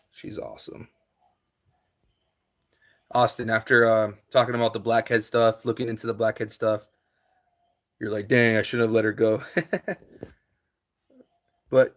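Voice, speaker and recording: A man's voice: on the low side, steady tone, a little slow, long pauses. A man, hosting a casual live stream and answering his viewers' chat in a laid-back way, with lots of fillers.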